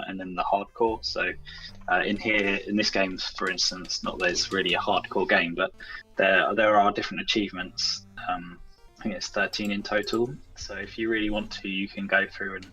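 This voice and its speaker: Bright, lowish-voiced man chatting. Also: flat delivery, normal speed, faint music behind, rough recording. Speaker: guest, indie game developer. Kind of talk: casual chat.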